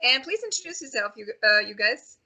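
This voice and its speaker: A woman's voice: on the high side, big pitch swings, brisk, bright, forceful tone. A woman hosting a podcast, opening the episode and asking her guests questions in a friendly manner. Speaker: podcast host.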